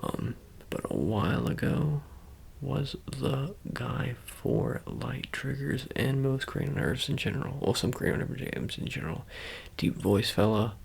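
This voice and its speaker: A man doing an ASMR roleplay, talking casually to the viewer in a halting, rambling, self-correcting way. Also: mid-range voice, vocal fry, husky. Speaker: ASMR creator.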